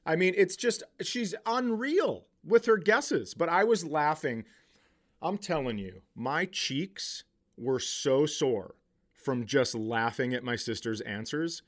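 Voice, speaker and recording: A man's voice: fairly high pitch, slow. A middle-aged man, a comedian podcast host, telling stories casually in a profane way and acting out what people said. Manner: profane, animated retelling with acted-out quotes. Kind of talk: casual comic storytelling.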